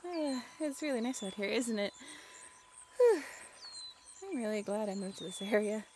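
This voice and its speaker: A woman's voice: fairly high pitch, lively intonation, measured pace, a little mellow, a little hiss or hum. A young woman playing a playful, tough-talking but shy writer in an intimate, chatty audio roleplay. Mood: content, tender. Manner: chatty, teasing, giggly.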